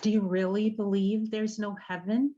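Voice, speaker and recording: A woman acting in a radio drama as an earnest, remorseful prison visitor with a gentle, hesitant way of talking. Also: medium pitch, flat delivery, moderate pace, dull audio, natural voice. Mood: probing.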